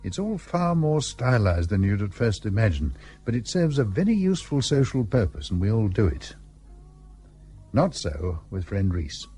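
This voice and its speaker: An actor playing a hearty, pompous British colonial host with old-fashioned clipped phrasing in a period radio play. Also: male voice, fairly low pitch, sing-song, moderate pace, warm tone, faint music behind. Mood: puzzled, suspicious. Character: blustery, sociable British colonial husband and host.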